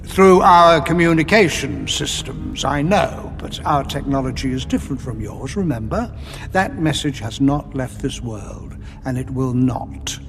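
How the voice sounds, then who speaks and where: A man acting in an audio drama as a cold, imperious alien sorcerer, speaking with measured, formal contempt. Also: medium pitch, lively intonation. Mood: quietly menacing. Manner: haughty, measured, formal speech. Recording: long reverb with music behind.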